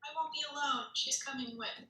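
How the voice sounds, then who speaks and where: A woman's voice: high voice, a little fast, bright, forceful tone. A woman reading the part of a bold, rule-breaking fourteen-year-old girl at a script read. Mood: brash. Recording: echoey, roomy sound.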